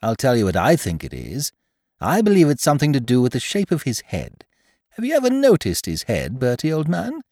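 A dim, cheerful young gentleman chatters in a chummy, breezy way, as the reader acts him out. His voice rises and falls freely. The sound is close and dry. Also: mid-range voice, wildly swooping pitch, fairly quick, no echo. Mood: puzzled.

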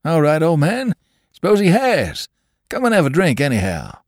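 A man voicing a jocular, nervous traveling salesman in a storybook reading, with high, excitable questions. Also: moderate pace, no echo, clean studio sound.

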